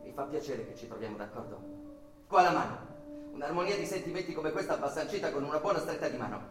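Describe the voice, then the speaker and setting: A man's voice: fairly high pitch, animated, fast talker. An actor playing an agitated, over-polite male bank employee in an emphatic, repetitive Italian radio theatre style. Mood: nervous, courteous. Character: agitated male bank employee lodger, courteous then explosively indignant. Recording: roomy, distant from mic, music behind.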